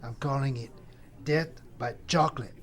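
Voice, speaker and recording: A man's voice: mid-range voice, moderate pace, close to an everyday voice. A man playing Death as a brash, glib, freeloading cousin who rambles in bro talk in an audio comedy drama. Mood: smug, pitching.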